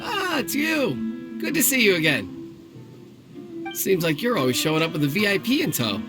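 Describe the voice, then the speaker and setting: Eager high-voiced young stall keeper. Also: male voice, huge pitch swings, moderate pace, over music. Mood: pleased, eager. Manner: earnest, explanatory, eager. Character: eager young food stall owner, friendly, earnest, eager to please. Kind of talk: video game voice acting.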